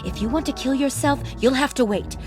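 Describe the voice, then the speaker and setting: An actress playing a bitter, scornful American wife in a radio drama, sarcastic, biting and commanding. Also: female voice, sits high, fairly quick, music in the background, close to an everyday voice. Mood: vindictive.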